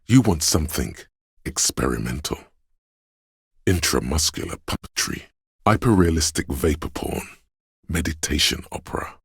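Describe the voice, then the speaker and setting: A man voicing a detective's pretentious, arty inner voice for a video game, theatrical and deliberate, with a gravelly, husky tone. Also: a bass voice, very animated, no echo, studio quality, exaggerated voice. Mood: pretentious, intrigued. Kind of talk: video game narration, second-person commentary.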